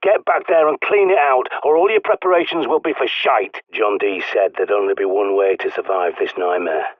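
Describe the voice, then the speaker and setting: Game role: a husky, low-voiced British prepper on the radio, blunt, cynical, profane. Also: male voice, moderate pace, dry recording. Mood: gruff. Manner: sardonic, blunt, swears often. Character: gruff male survivalist radio guide, cynical. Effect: radio transmission. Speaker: radio guide character. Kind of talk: video game voice acting. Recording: tinny radio line.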